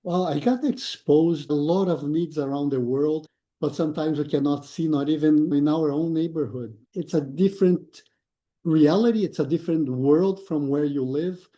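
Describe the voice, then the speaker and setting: Male guest, thoughtful and explanatory, very dark tone, lo-fi audio. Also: fairly high pitch, moderate pace. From Brazil. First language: Portuguese. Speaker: guest, lean consultant. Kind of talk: podcast interview.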